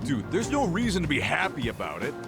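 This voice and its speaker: An actor voicing an eager junior yakuza sidekick in a video game dub, talking in cocky slang. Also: male voice, on the high side, huge pitch swings, brisk, over music. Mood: casual. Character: young junior yakuza sidekick, eager, mock-threatening debt collector.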